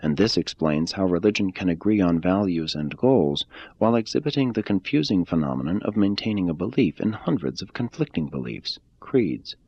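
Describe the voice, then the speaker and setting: A man reading a religious text aloud in a measured, deliberate way. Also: low voice, lively intonation, darkish tone, breathy edge, no echo, dull audio. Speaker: reader.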